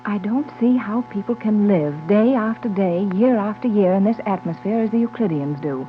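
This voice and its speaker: A woman's voice: mid-range voice, moderate pace, soft background music, natural voice. An actress playing an anxious, proper, doubting middle-aged mother in a 1930s radio serial. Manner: proper, worried. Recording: tinny, humming 1930s radio recording.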